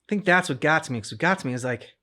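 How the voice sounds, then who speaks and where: Bright-voiced man, halting and reflective. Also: on the high side, animated. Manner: halting, repeats words as he searches for thoughts. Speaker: co-host of a reaction channel. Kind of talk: casual reflective discussion.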